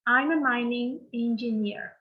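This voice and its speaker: Slow, patient Peruvian woman teacher. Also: a little high, phone-quality sound. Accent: Peruvian Spanish. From Peru. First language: Spanish. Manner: slow, patient, repeats phrases for learners. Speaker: English teacher. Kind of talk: online English lesson with repeat-after-me drills.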